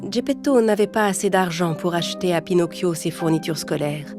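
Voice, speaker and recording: A woman narrating a cartoon fairy tale in a calm, even storytelling voice. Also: on the low side, brisk. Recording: music playing underneath.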